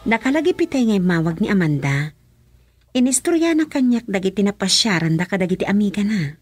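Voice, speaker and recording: A woman's voice: medium pitch, big pitch swings, a little fast, husky, faint music behind. An actress playing an inquisitive wife chatting with her husband in a radio drama. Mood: curious. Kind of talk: Ilocano radio drama acting.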